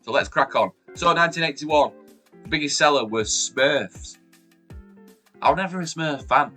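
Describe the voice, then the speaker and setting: A man's voice: normal speed, faint music behind. A man in his forties with a Yorkshire accent, hosting a casual countdown vlog in an upbeat, chatty way while sounding chesty from a cold. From Yorkshire, England. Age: 40s. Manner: chatty and upbeat, lively jumps in pitch and loudness. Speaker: YouTube host. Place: room at home with shelves behind the camera.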